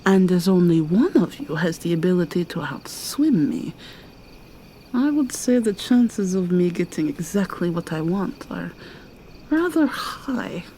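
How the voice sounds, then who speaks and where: A woman voicing a seductive, menacing vampire mermaid villainess in an ASMR roleplay, taunting and purring with sudden high swoops. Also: sing-song, unhurried, mellow, a little hiss or hum, poor audio.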